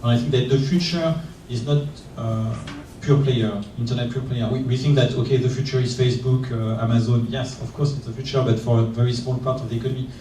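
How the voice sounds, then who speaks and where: A man on a conference panel talks in a flat, mellow voice, stumbling and repeating words as he goes. He is picked up from a distance in a reverberant room with faint ambient hiss. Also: medium pitch, brisk, few pauses. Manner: hesitant, repeats words, monotone. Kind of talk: panel discussion. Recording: echoey room, voice from far off.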